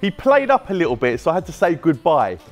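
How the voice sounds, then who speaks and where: British man, thirties, casual jokey vlog host, big bright workshop, camera mic, faint music behind. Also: fairly high pitch, sing-song, fast talker. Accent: British English. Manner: chatty, jokey, talks to staff on the go. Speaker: car wrap shop owner and host. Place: large bright indoor car workshop.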